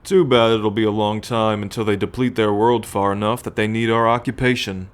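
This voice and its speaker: A low-pitched, haughty alien military captor, acted out by a man for an ASMR roleplay. He talks in measured, imperious phrases, musing and sighing, with a teasing possessiveness and cool menace toward his captive. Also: little pitch movement, clear, resonant tone, lightly acted. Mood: proud, musing. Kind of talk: ASMR roleplay acting, addressed to the listener.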